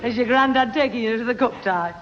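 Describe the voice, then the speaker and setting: A woman playing a brisk, scolding mother in a 1960s radio sitcom. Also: fairly high pitch, natural voice. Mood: brisk, questioning. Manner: brisk, no-nonsense. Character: exasperated, scolding middle-aged mother of a naughty schoolboy. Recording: muffled, hissy 1960s radio, echoey theatre.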